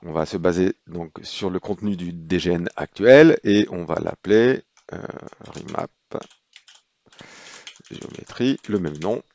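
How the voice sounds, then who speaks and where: A man calmly walking through a software procedure in a tutorial, pausing often with hesitations as he explains each step. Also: sits low, dull audio. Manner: unhurried, explanatory, frequent hesitations. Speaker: software trainer. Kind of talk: screen-recorded software tutorial.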